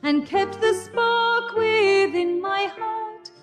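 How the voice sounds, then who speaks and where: A woman singer performing a slow hymn, with a long reverberant sound. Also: very high voice. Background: music.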